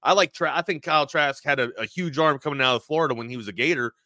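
Bright punchy dude talking football, calls everyone man and bro, dry mic. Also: a little high, fast talker, dry recording. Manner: loose, slangy, says man and bro. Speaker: sports podcast co-host. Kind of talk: casual sports talk.

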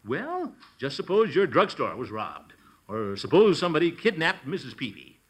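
An actor playing a blustery, pompous middle-aged uncle who fancies himself a detective, in an American radio sitcom. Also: male voice, fairly high pitch, huge pitch swings, normal speed, breathy edge, full character voice. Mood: self-important. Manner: pompous, chuckling, exclamatory. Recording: noisy old radio broadcast recording.

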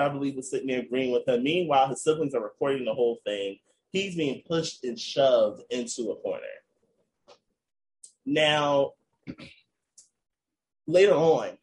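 A man's voice: medium pitch, a little mellow, a little hiss or hum, low-quality recording. A man hosting a casual podcast, recounting a news story to his cohosts in a storytelling way. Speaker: podcast host.

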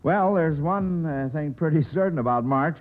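An American man hosting a radio quiz show, ad-libbing haltingly with plenty of hems and haws. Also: fairly high pitch. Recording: old radio broadcast, narrow band, faint noise.

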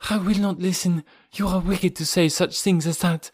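A man's voice: on the high side, normal speed, no echo, clean studio sound, pitched above the performer's own voice, natural voice. A man voicing a frightened, earnest young noblewoman in an audiobook reading. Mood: defiant.

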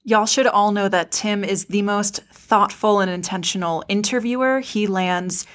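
A woman's voice: medium pitch, fairly even intonation, a little slow, a bit bright. Female podcast co-host, mid-range voice, articulate, effusive, conversational hosting read.

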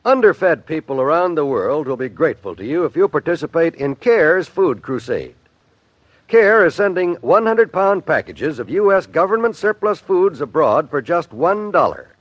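A man's voice: fairly high pitch, big pitch swings, normal speed, no room sound. A man announcing a scripted public service appeal in earnest, formal 1950s radio style, on a dry, dull vintage recording.

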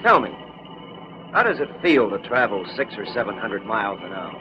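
A man's voice: on the low side, very animated, normal speed, background noise. An actor playing a wisecracking, grumbling working-class newspaperman in 1940s radio drama, with streetwise slang and a sardonic way of talking. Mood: curious, friendly. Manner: sardonic, colloquial. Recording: muffled vintage radio recording.

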